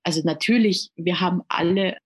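A woman's voice: lowish voice, fairly quick, breathy edge. A woman from Germany, a native speaker of German and Italian, talking earnestly as an interview guest, often repeating words while she searches for the next one. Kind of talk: casual conversational interview.

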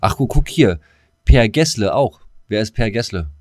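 A middle-aged man talks in a low register, recorded dry and close. He chats like a podcast co-host, rambling along in colloquial, matey fashion. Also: animated, normal speed, darkish tone, no room sound. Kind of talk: casual chat.

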